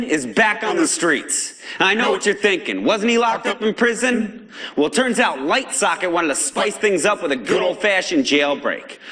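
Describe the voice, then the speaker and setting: An actor playing a defiant, paranoid underground broadcaster in a video game, ranting sarcastically and indignantly in colloquial American English with an American accent. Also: male voice, high-pitched, pushed and bright, heavily acted. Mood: scornful, indignant. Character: defiant, paranoid pirate radio agitator, adult man. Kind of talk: impassioned pirate broadcast rant.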